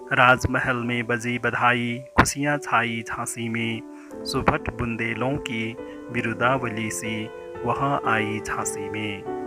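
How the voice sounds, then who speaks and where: A man reciting a poem in a measured, declamatory way, drawing out the ends of lines. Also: medium pitch. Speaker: poetry reciter. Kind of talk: dramatic Hindi poem recitation.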